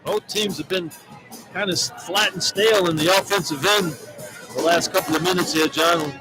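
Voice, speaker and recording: Male basketball color analyst, high-pitched, conversational and plain-spoken, loud background sound under the broadcast. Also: normal speed, over music, a crowd in the background, rough recording. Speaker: radio color commentator. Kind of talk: live basketball analysis between plays. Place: courtside in an indoor arena.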